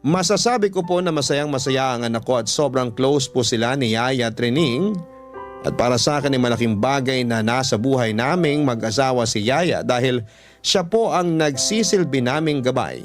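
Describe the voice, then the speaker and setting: A man with a Filipino accent, a radio host reading a listener's letter as scripted story narration. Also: medium pitch.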